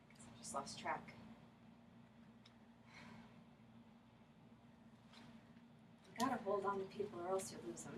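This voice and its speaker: A woman on stage playing a flustered, heartbroken woman who searches for a lost love in halting, stammering, apologetic speech. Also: mid-range voice, natural voice. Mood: sad, wistful. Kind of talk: staged play reading. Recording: roomy, distant mic, faint noise.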